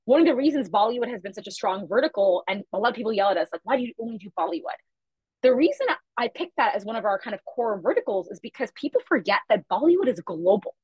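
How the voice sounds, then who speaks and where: A woman's voice: fairly high pitch, husky, no echo, dull audio. A woman guest in a casual podcast interview, talking animatedly and emphatically about her company and upbringing. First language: Chhattisgarhi. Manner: fast, animated, emphatic storytelling. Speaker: guest, media company founder.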